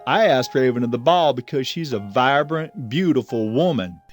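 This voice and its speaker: A man acts a smug soap-opera suitor. He talks suavely, needling with feigned innocence. Soft music plays behind his words. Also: medium pitch, lively intonation, moderate pace, no echo, close to an everyday voice. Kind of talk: audio soap drama acting.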